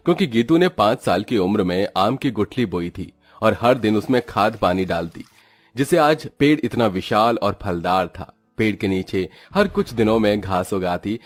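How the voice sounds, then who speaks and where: A man narrating a scripted moral story for a cartoon. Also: lowish voice.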